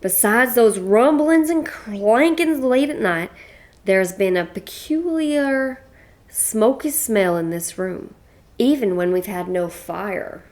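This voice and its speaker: An actress playing a blunt, folksy middle-aged widow from the American West, speaking with a Western accent. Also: female voice, mid-range voice, lively intonation, slow, darkish tone. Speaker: Mrs. Gibson.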